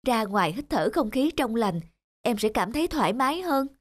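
An actress playing a caring young elder sister in a cartoon drama, speaking in a gentle, earnest, consoling way. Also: female voice, fairly high pitch, big pitch swings, moderate pace, dry recording, studio quality. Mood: coaxing. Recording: prominent background music.

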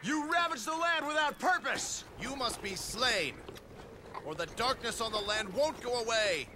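A man's voice: very high-pitched, lively intonation, unhurried, background noise. A man playing a righteous, heroic warlord in a video game cutscene, speaking in a theatrical, declamatory way. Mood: righteous defiance. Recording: loud music over the voice.

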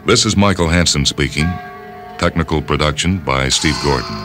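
Guy doing radio announcer sign-off, super deep voice, music around. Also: moderate pace. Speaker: host and announcer.

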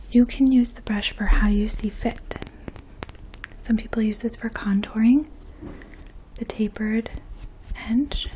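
A woman in her twenties speaking in a soft, hushed, breathy voice, gently explaining things as a makeup artist in an ASMR role play. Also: mid-range voice. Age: 20s. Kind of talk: ASMR role play, addressing the listener as a client. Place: small indoor room. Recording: faint steady outdoor hum. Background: distant lawn mower.

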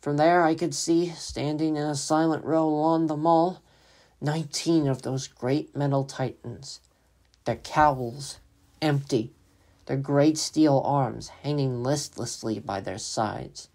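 A man's voice: on the high side, fairly even intonation, slow, a little mellow. A man giving solemn, dramatic scripted narration as the storyteller of a radio play.

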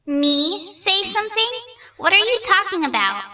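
Mocking child villain played by a woman: sharp, swooping high voice, sneering delivery, slightly distant mic. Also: very animated, normal speed, full character voice. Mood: irritated. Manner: sneering, theatrical. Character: bitter, mocking, hot-tempered fallen child with dark power. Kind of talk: comic dub character acting.

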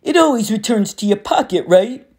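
A woman's voice: mid-range voice, expressive, moderate pace, darkish tone, slightly rough, pitched above the performer's own voice. A woman voicing a smug, menacing adult male god of war who taunts and boasts, in an audiobook reading. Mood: taunting. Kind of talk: audiobook character voice.

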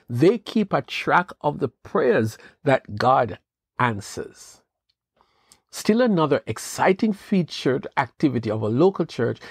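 Slow, husky-voiced man teaching scripture. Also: medium pitch, dry recording, clean studio sound. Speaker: podcast host and Bible teacher. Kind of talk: scripted devotional talk.

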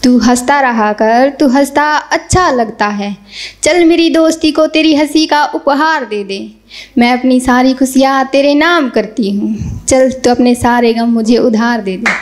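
Young female poet, highish voice, recites verse at an open mic and repeats each couplet. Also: unhurried. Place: small indoor stage. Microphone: stand mic.

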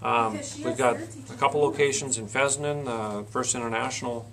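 A man in his forties with a gravelly, fry-edged voice talks through a list of events in an interview. His delivery is plain and halting. He is picked up by a camera mic in a meeting room, with chatter behind him. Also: medium pitch, fairly even intonation. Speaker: county fair board president, interview guest. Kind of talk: reading out an event schedule in a radio interview. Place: small indoor meeting room, seated at a table. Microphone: camcorder a short distance in front.

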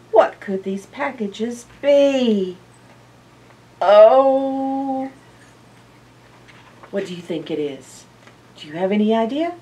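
An older woman reads a picture book aloud to young children as a storyteller, expressively and with questions to her listeners. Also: a little high. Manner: expressive, asks listeners questions, animated reactions. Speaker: library storyteller. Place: indoor library, seated by bookshelves. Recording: slightly distant microphone.

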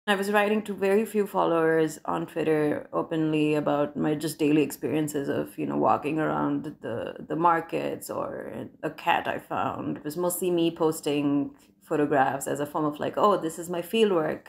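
A young woman from India, a doctoral scholar, recounting a personal experience in a reflective, measured way with frequent restarts. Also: sits low, vocal fry.

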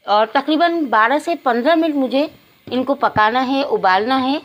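High-voiced woman narrating a recipe. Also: normal speed, rough recording. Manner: step-by-step, addressing viewers directly. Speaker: home cook presenting a recipe. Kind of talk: instructional cooking narration.

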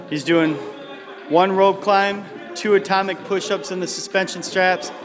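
Encouraging male trainer in an echoing gym. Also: sits high. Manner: encouraging, counting reps aloud. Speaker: personal trainer. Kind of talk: coaching and commentary. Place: gym studio, indoors. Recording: camera audio in a large echoing gym with music.

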